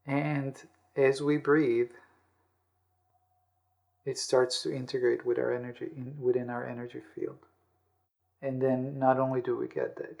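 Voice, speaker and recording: Creaky-voiced man explaining self-help ideas. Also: medium pitch, a little mellow. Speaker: podcast host and author being interviewed.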